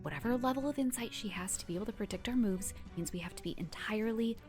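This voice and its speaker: A woman's voice: medium pitch, quick pace, a little mellow, faint music behind, close to an everyday voice. A woman playing a determined, wary superpowered heroine in an audio drama, earnest with a dry, teasing edge. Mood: tense, cautious. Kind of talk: scripted audio drama acting.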